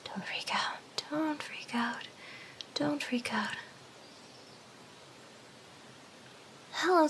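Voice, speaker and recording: A woman acts out an eager, smitten harpy girl in an anime ASMR roleplay. Her voice sits on the high side and is chirpy and coaxing, slipping into flustered chatter or soft whispers. There is audible noise behind the voice. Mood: soft, soothing. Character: hyper, eager young female harpy, smitten and possessive.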